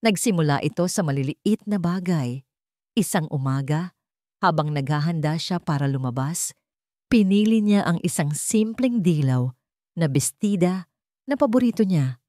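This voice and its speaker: Low-pitched Filipino woman narrating a story. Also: expressive, normal speed, mellow, dry recording, clean studio sound. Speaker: audiobook narrator. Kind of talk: scripted dramatic story narration.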